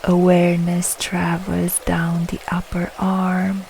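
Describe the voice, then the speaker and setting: Very slow, mellow woman guiding meditation. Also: on the low side, monotone, gravelly, a little hiss or hum, low-quality recording. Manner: soothing, unhurried, soft short phrases with long pauses. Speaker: yoga nidra meditation guide. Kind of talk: slow guided relaxation meditation.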